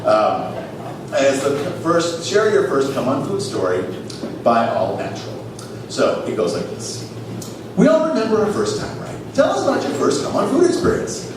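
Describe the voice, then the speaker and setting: Male live comedy host, theatrical reader with huge pitch swings, noisy distant stage recording. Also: wildly swooping pitch, normal speed, very echoey. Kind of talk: reading an internet post aloud for laughs.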